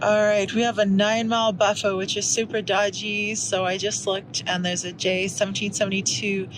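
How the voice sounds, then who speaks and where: A woman's voice: mid-range voice, level tone, normal speed, background noise. A woman vlogging from the passenger seat of a car at night, talking casually and animatedly with her partner. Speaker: vlogger, co-host.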